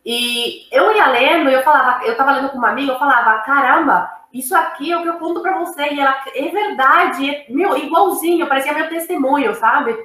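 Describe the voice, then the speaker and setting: A woman's voice: high voice, fairly quick, few pauses, bright, forceful tone, roomy sound, rough recording. A woman from Cuba, speaking Portuguese as an interview guest in an emphatic, casual way, often adding "né" and "sabe". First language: Spanish. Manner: emphatic, frequent "né" and "sabe" tags.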